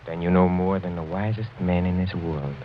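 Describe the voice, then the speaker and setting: An actor playing a suave, sinister older European count in 1940s radio style, courtly and insinuating, halting and chuckling softly. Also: male voice, low voice. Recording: muffled old 1940s radio recording, faint music.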